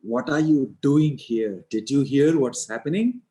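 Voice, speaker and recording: A man's voice: mid-range voice, animated, a little slow, warm tone, lightly acted. A man voicing a scheming old hunchbacked female palace servant who scolds and insists. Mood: scornful.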